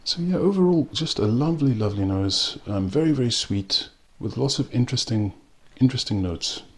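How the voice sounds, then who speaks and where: A man from South Africa with a South African accent, reviewing a rum in a relaxed way with frequent pauses and ums. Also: mid-range voice. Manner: unhurried, with frequent ums and restarts. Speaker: spirits reviewer. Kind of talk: casual spoken tasting review.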